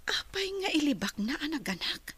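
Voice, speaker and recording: An actress with a Filipino accent playing an earnest young hospital intern in a radio drama. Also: female voice, high voice, big pitch swings, a little fast, a bit bright, no room sound. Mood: hesitant, uneasy.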